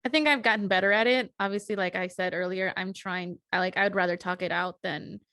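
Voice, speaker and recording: A woman's voice: mid-range voice, fairly even intonation, quick pace, pushed and bright, dry recording, studio quality. A young woman co-hosting a podcast in casual chat, talking earnestly and reassuringly, with lots of "like" and "um". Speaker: podcast co-host.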